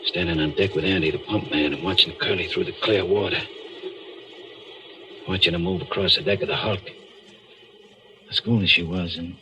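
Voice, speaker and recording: A man playing a guilt-haunted murderous deep-sea diver, narrating his crime in a brooding, deliberate old-time radio thriller style. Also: steady tone, dull audio, noisy background, close to an everyday voice. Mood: brooding confession. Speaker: lead actor and narrator. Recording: vintage radio recording with music.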